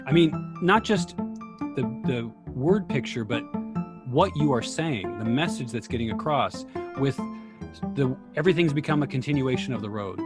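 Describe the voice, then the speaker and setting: A man's voice: medium pitch, lively intonation, moderate pace, loud music behind the voice. A man moderating a casual discussion in an appreciative, emphatic way.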